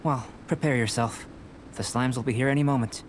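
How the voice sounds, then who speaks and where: A man voicing a calm, reserved young alchemist scholar in an anime game, speaking in a measured, precise way. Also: mid-range voice, a little hiss or hum, natural voice. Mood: composed, instructive. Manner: measured, precise, composed, clinical.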